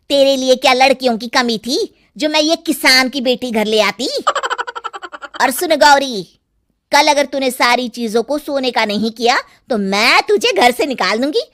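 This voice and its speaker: Woman playing greedy, high-voiced village mother-in-law. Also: fairly bright tone. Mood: bossy, scolding. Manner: pushy, scolding. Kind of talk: cartoon story acting.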